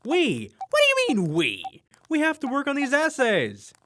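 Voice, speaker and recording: Audio drama: actor playing young male reluctant sidekick, whiny, exasperated, pitch leaping all over. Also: wildly swooping pitch, normal speed, background noise, exaggerated voice.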